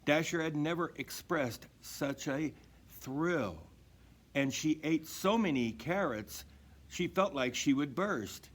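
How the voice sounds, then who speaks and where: A man reading a children's story aloud in an expressive narrating style. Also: fairly high pitch, slow. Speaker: storybook reader.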